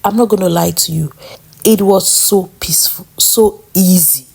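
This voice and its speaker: A woman's voice: lowish voice, normal speed, gravelly, darkish tone, slightly rough. A young woman from Nigeria hosting a casual commentary vlog, talking to her viewers in a chatty way. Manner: chatty, addresses viewers as guys. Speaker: vlog host.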